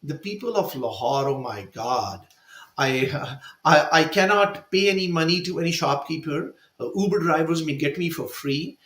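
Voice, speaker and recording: A man's voice: on the high side, moderate pace, roomy sound. A man from India, an author, telling personal stories with feeling during an online discussion. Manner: emotional, animated storyteller. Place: virtual event, video call. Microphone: video call.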